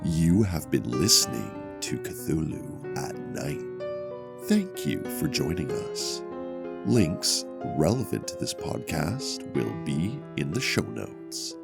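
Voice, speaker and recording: An extremely deep man announces a horror podcast sign-off. He speaks very slowly and theatrically, with an ominous, gravelly delivery. Loud piano music plays with him. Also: very animated. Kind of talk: scripted horror podcast outro.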